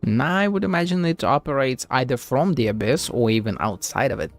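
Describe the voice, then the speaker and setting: Male streamer, mid-pitched voice, offhand slangy commentary, relaxed manner, light game music underneath. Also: a little fast. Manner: laid-back, slangy, mild swearing. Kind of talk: casual live gameplay commentary.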